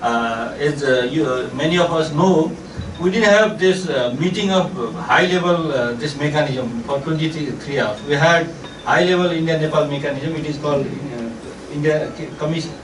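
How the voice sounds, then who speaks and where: A man speaking as a panel presenter in a semi-formal discussion, with halting, hesitant phrasing. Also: fairly high pitch. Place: echoey indoor discussion event. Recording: echoey room, distant mic, faint noise.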